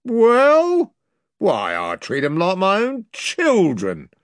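Guy doing a wheedly greasy pirate slaver, rough talk, slow and dry. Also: high-pitched, wildly swooping pitch, no echo, pitched above the performer's own voice, exaggerated voice. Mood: wheedling. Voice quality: oily, wheedling, common speech. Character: wheedling, fawning male pirate slave dealer.

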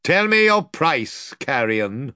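Grim, commanding nobleman, a man reading, raised and lively, dry close sound. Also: high-pitched, measured pace, no room sound, voice pushed up, heavily acted. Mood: stern. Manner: stern, clipped commands.

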